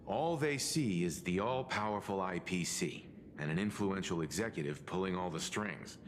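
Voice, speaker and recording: A man playing a grave, perceptive adventurer in a video game, speaking in a solemn, deliberate and formal manner. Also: deep voice, expressive, slight background noise. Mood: stern, probing. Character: grave, stern, perceptive male adventurer ally.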